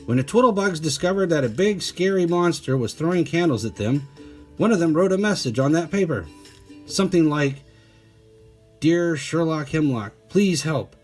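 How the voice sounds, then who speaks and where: A man voicing a pompous, excitable male detective from a children's storybook, grandly sure of himself and full of exclamations. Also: a little high, moderate pace, soft background music, pitched above the performer's own voice, natural voice. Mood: excited. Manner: grandiose, exclamatory, self-assured.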